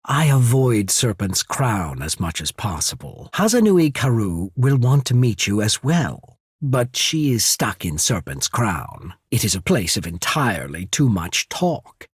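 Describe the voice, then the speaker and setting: Game role: polished trading company grand secretary, husky and melodically varied, measured diplomat with veiled scorn, dry studio sound. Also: male voice, lowish voice, sing-song, no room sound, heavily acted. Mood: diplomatic. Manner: measured, diplomatic, subtly disdainful, formal wording. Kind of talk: video game character voice acting.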